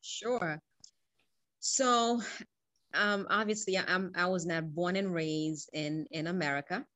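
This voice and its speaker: A woman's voice: fairly low pitch, slow pace, a bit bright, no echo. A middle-aged woman from Haiti, an interview guest telling her own story in an earnest, reflective way. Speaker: guest, school founder.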